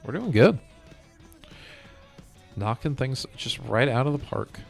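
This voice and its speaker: A man's voice: medium pitch, lively intonation, slow, creaky. Casual male game streamer over music. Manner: thinking aloud, rambling commentary to viewers. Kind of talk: casual chat while playing a game. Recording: music behind the voice.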